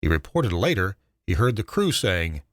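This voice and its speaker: An older man, a retired broadcast engineer, narrating a scripted memoir in a measured, dramatic way with emphatic stresses. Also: deep voice, sing-song, no echo, clean studio sound.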